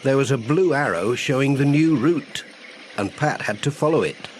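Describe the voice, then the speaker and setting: A man's voice: mid-range voice, expressive, normal speed, a little hiss or hum. A man narrating a scripted children's audiobook as its storyteller.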